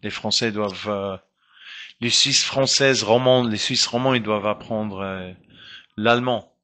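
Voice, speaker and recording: Guy talking slow in french, bright edgy voice, keeps restarting and hunting for words. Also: lowish voice, steady tone. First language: German. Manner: hesitant, searching for words with many restarts. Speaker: guest musician. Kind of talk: casual interview talk.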